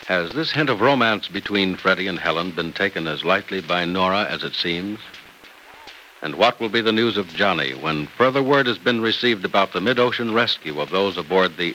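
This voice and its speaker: A man's voice: low voice, pushed and bright. An American man announcing in a polished, emphatic 1940s radio style, stretching out key words. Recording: noisy vintage radio recording.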